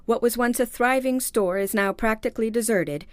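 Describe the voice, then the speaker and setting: A woman's voice: a little high, normal speed, no echo, lightly acted. Woman acting a formal, anxious lady client. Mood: worried, earnest. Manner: formal, earnest, dramatic. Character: polite, anxious female department store heiress seeking help. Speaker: actor in an audio drama.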